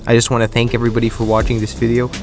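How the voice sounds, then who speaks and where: A man's voice: mid-range voice, monotone, very fast, darkish tone, faint music behind. A young man with a stuffy, congested nose narrating a scripted game review, enthusiastic and opinionated.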